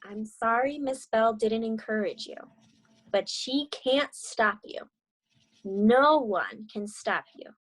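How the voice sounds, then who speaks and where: Loving mother, acted by a woman, storybook character, encouraging, soothing, slow pace, high voice. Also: animated, poor audio.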